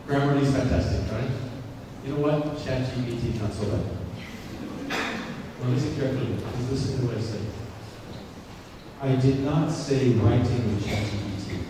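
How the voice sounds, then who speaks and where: A man giving a guest lecture to students, speaking English as a second language, who keeps checking in with "okay?" and repeats his points. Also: mid-range voice. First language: not English. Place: large lecture hall. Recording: echoey hall recorded from far off with noise.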